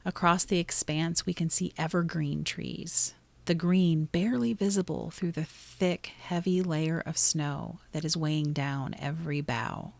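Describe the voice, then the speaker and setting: Low-pitched woman guiding a meditation. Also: steady tone, creaky, no echo. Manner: soothing, gently instructive, pausing between short phrases. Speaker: meditation guide. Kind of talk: guided meditation narration.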